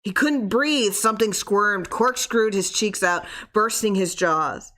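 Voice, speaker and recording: A woman reading a horror story as narrator, dramatic, expressive and suspenseful. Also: medium pitch, measured pace.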